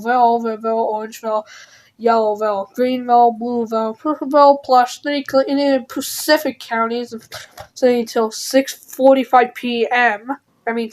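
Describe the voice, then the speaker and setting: A woman's voice: fairly high pitch, darkish tone, rough recording. A woman doing an amateur mock emergency alert announcement, often stumbling and correcting herself as she reads. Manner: stumbling, often self-correcting, reciting place lists.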